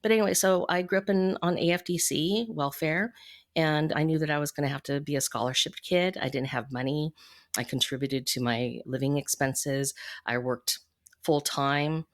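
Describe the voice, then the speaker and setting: Low-key woman telling her story candidly. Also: fairly even intonation. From United States. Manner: candid, measured, thoughtful. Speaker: podcast guest, financial coach. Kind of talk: reflective personal storytelling in an interview.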